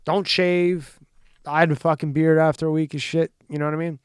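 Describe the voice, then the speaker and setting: Chill host guy, smooth clear voice, dry room, swears casually. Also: fairly high pitch, level tone, a little fast, dry recording. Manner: laid-back, agreeable, swears casually. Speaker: podcast host. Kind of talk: casual conversation. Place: studio with a green screen.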